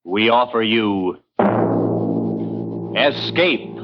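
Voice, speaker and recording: A man's voice: fairly low pitch, animated, drawn-out words. A man announcing a show opening in the dramatic style of old-time radio. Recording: dull vintage radio, loud orchestral music.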